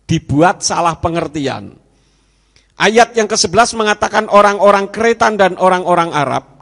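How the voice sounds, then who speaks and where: A man's voice: fairly high pitch, fairly bright tone. A man preaching a sermon to his congregation, his emphasis rising and dropping. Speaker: pastor. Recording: reverberant hall.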